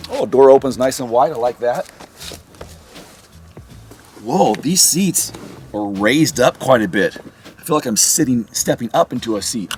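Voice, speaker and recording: A man in his forties who is losing his voice to a cold, casually talking through a car walkaround on a handheld camera, upbeat and thinking aloud. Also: mid-range voice. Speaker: car reviewer. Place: outdoors on a street and inside a parked car. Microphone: handheld camera at arm's length.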